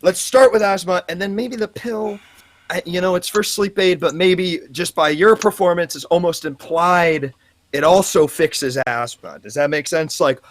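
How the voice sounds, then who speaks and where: A man's voice: high-pitched, moderate pace, no room sound, close to an everyday voice. A man playing a gushing, rambling ad-agency executive in improvised comedy banter. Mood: rambling, eager. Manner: rambling, effusive, hedging.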